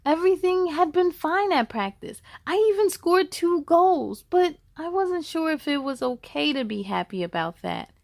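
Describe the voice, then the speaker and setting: A woman hosting a children's storytime, reading aloud in a cheerful, encouraging and expressive way. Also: extremely high pitch, normal speed, vocal fry, few pauses, no room sound. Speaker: host of a children's read-aloud show.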